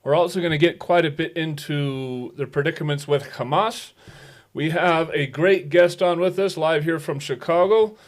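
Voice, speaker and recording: A man's voice: a little high, moderate pace. A man hosting a podcast, introducing the show and putting questions to his guest in a conversational style. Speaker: podcast host.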